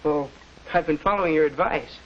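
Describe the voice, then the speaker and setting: A young male actor playing a shy, earnest high school boy with an American accent, halting in a 1940s educational film. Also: natural voice. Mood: earnest, thoughtful. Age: teenager. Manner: hesitant, halting. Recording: dull lo-fi 1940s film soundtrack.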